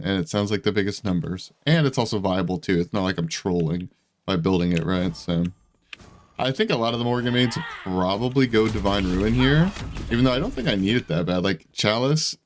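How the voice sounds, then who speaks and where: A man's voice: lowish voice, a little hiss or hum. A man giving casual, rambling gameplay commentary in slangy gamer talk, sounding congested, with his voice slightly giving out. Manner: slangy, rambling, self-deprecating, says dude and bro. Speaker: gaming YouTuber.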